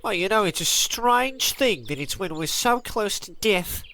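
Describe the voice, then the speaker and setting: Man doing a meek bookish assistant, stammering, lively swooping pitch, faint noise. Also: measured pace, exaggerated voice. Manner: hesitant, stammering, wistful. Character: meek bookish male gun caddy assistant. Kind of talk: comedic radio play acting.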